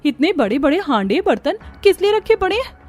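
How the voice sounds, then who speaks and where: A woman's voice: extremely high pitch, big pitch swings, moderate pace, voice pushed up. A woman voicing a put-upon young daughter-in-law in a family cartoon, with a wry, complaining manner. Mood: overwhelmed grumbling.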